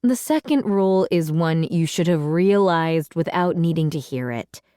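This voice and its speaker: Storybook mother, performed by a female reader: exasperated, emphatic, low register, lively swings, dry close sound. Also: normal speed, smooth, no echo. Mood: stern, exasperated. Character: exasperated, scolding mother of a young girl. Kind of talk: children's storybook read-aloud.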